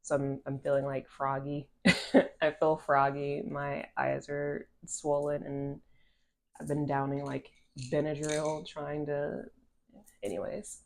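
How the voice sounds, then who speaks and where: Low-voiced nasal woman reading tarot. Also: completely flat delivery, slow, creaky, darkish tone. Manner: rambling with restarts and filler "like". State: stuffy nose from allergies. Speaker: tarot reader. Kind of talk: casual channeled card reading to camera. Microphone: phone camera.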